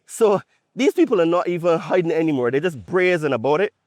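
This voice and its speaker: A man's voice: fairly high pitch, lively intonation, fast, darkish tone, no room sound, studio quality. A man from Barbados with a Bajan accent gives an opinionated podcast monologue, conversational and emphatic, often checking his points with a tag question. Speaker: podcast host.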